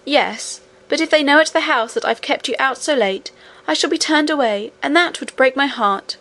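A woman's voice: sits high, normal speed, a bit bright, voice pushed up. A woman voicing an anxious, proper nursemaid in a storybook, with a fussy, easily indignant manner. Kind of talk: storybook character reading.

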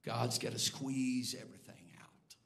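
A man preaching a sermon in a church, swinging between low, hushed lines and loud, near-sung calls to the congregation. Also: unhurried, vocal fry. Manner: builds from quiet, low delivery to loud, near-sung shouting. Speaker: bishop preaching. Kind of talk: Pentecostal sermon. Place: church sanctuary before a congregation.

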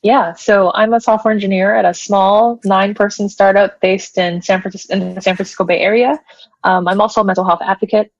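Female guest, from Hawaii, friendly and measured, dull dry remote sound. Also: medium pitch, fairly even intonation, dry recording, soft background music. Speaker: podcast guest, software engineer. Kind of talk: casual interview.

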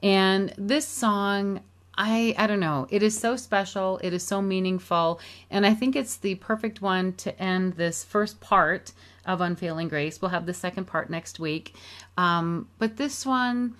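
A woman's voice: mid-range voice, a little slow, fairly bright tone. A middle-aged woman, an author and musician, talking earnestly and heartfelt in a casual radio interview. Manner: earnest and heartfelt, with some restarts. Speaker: author and musician promoting her book.

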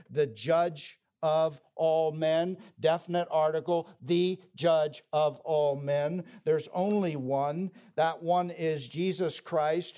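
A man's voice: fairly high pitch, a little mellow. A man preaching a sermon, telling a story and quoting scripture with emphasis. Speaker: pastor.

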